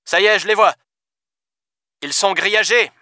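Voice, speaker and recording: A man's voice: sits high, moderate pace, sharp tone, no echo, clean studio sound, pitched above the performer's own voice. A man reading aloud, voicing a blunt, swearing male police officer in a raised voice. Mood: gruff. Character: gruff foul-mouthed male French cop.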